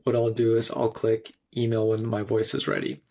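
A man in his thirties narrating a screencast tutorial in an instructional, clearly phrased way. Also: lowish voice, completely flat delivery, normal speed, darkish tone. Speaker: software tutorial presenter.

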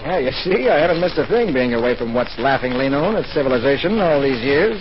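Casting: actor for easygoing, wisecracking male expatriate, animated mid-range voice; muffled 1940s radio play. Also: medium pitch, quick pace, music in the background, close to an everyday voice. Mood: breezy, chummy. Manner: wisecracking, chummy. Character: breezy, contented American adventurer living in Africa.

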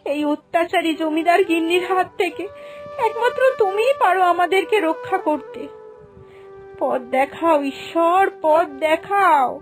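A woman's voice: extremely high pitch, unhurried, soft background music. A woman voicing an anxious, pious poor farmer's wife in a cartoon folk tale, with a plaintive, imploring manner. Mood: tearful prayer. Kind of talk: Bengali animated folk-tale acting.

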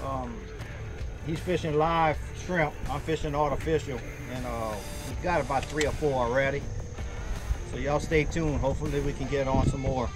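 A man's voice: mid-range voice, fairly even intonation, moderate pace, over music, rough recording. A man, chatting casually to camera as host of a fishing show, out on an open boat. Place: outdoors on a small open boat on the water. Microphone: action camera a few feet away.

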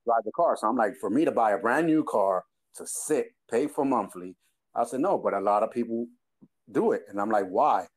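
A man's voice: medium pitch, normal speed. A man in his twenties with a New York accent, co-hosting a casual podcast chat and telling stories in which he quotes people with laughs. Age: 20s. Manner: storytelling, quoting people with animated laughs. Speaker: podcast co-host.

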